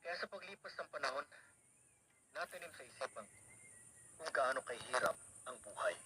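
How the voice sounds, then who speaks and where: A man's voice: medium pitch, lively intonation, moderate pace, close to an everyday voice. An actor playing a dutiful, humble poor young man, speaking respectfully and earnestly in a Filipino radio drama. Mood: sombre reflection. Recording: very noisy radio drama recording.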